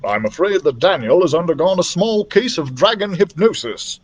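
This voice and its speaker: Man acting storybook doctor, grave, kindly teacher, measured manner, animated intonation. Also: sits high. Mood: apologetic. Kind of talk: audiobook character voice.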